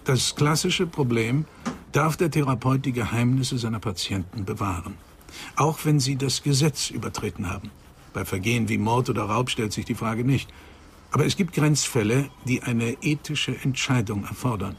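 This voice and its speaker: An actor playing an earnest psychoanalyst of nearly eighty in a German radio crime drama, speaking in a measured, emphatic way. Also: male voice, mid-range voice, slight background noise, natural voice. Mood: emphatic, grave. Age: older.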